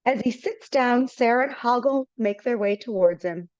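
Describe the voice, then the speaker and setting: A woman narrating stage directions in a brisk, matter-of-fact way, over slightly dull video-call audio. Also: fairly high pitch, darkish tone, no room sound.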